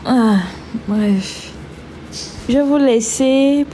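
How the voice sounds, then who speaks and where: Slow-talking woman live-streaming with a cold. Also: high voice, warm tone, a little hiss or hum. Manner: slow, chatty, trailing restarts. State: has a cold. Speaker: livestream host. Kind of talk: casual live-stream chat.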